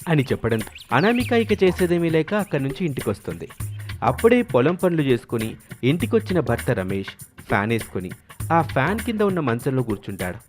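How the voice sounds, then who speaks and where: A man's voice: medium pitch, sing-song, fairly quick. A man reading scripted narration for a moral story. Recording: music clearly behind the voice. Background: background music.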